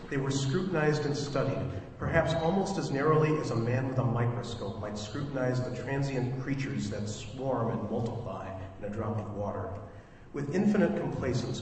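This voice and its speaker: A solemn radio play narrator, performed by a man, speaks gravely and deliberately at middle pitch. The voice echoes heavily in a hall, with faint noise. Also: darkish tone, recorded from a distance, lightly acted. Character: solemn male narrator of an invasion tale.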